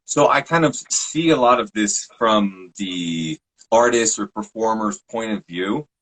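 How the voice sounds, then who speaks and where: Man, low voice, husky, relaxed interview guest. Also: a little slow, low-quality recording. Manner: relaxed, reflective, trained projection. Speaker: podcast host and guest. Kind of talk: casual interview talk.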